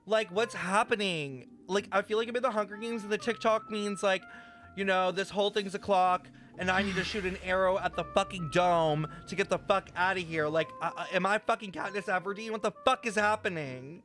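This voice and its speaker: A woman's voice: medium pitch, fairly quick, fairly bright tone. Woman, game streamer, casual reactions, rambling, exclamatory, swears freely, music faintly behind. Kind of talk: casual live gameplay reaction commentary.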